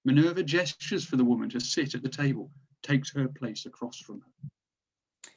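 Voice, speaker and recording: A man's voice: medium pitch, moderate pace, darkish tone, breathy edge. A man narrating stage directions from a drama script, recorded on dull, lo-fi audio.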